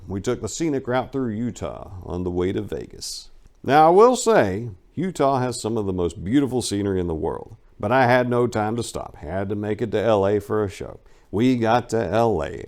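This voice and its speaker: A man with a fairly low voice narrates a memoir in the first person. He reads from a script as an audiobook performer would, in a dramatic reading style. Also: a little mellow. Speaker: audiobook reader.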